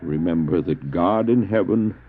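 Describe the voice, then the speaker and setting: A man's voice: low-pitched, phone-quality sound. A man acting a stranded, devout doctor and dog sledder in an audio drama, earnest and theatrical, anguished but resolute, on an old cassette recording. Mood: torn, sorrowful. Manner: earnest, theatrical, halting when speaking in character. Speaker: narrator and lead actor. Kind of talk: dramatized first-person adventure narration, audio drama.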